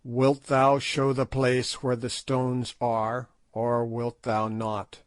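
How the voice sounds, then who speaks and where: A man reading aloud and voicing a stern king who speaks slowly and with command. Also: mid-range voice, little pitch movement, no echo, lightly acted. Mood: threatening. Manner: slow, stern, measured.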